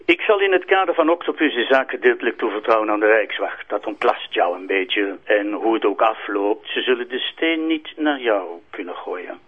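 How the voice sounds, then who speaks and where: An actor playing a smooth senior magistrate with a Flemish accent, soothing, diplomatic and patronising, heard through a telephone filter. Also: male voice, lowish voice, expressive, moderate pace, hardly any pauses. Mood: reassuring. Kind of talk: audio drama acting. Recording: thin, phone-like band.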